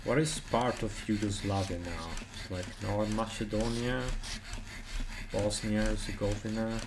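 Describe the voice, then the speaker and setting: Man from italy, speedrunner streamer, slow unhurried talk, lowish voice, casual chatty, laughs easily. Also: steady tone, background noise. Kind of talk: casual live-stream commentary.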